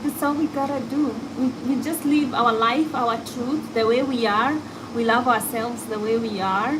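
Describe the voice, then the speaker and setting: A woman's voice: fairly high pitch, moderate pace. A woman with an African accent, talking casually and reflectively as a podcast host. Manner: candid, reflective, talks to a guest and listeners. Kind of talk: casual chat. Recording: steady background noise.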